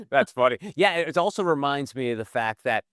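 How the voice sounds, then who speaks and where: A man's voice: medium pitch, lively intonation, normal speed, fairly bright tone, no room sound, studio quality. A man hosting a podcast interview, chatty and jokey as he asks his questions. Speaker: podcast host. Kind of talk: interview, casual chat.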